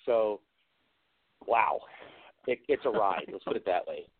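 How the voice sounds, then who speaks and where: A man's voice: lowish voice, animated, a little slow, breathy edge. A man chatting casually as an interview guest, telling rambling, amused anecdotes about his work as a prop maker. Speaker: guest, movie special effects and prop maker. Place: remote call into a radio show. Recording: lo-fi, phone-like internet radio call.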